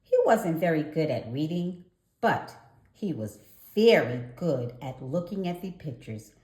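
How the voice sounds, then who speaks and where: Animated woman storyteller, slow, echoey room. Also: deep voice. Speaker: children's storybook reader. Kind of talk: animated scripted read-aloud narration.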